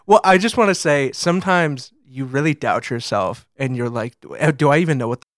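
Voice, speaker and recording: An American man co-hosting a casual conversation, telling stories in an animated, slangy way and peppering his talk with "like". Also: mid-range voice, fairly quick, dry recording, clean studio sound. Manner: animated storyteller, swears casually, frequent "like". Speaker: podcast co-host and photographer.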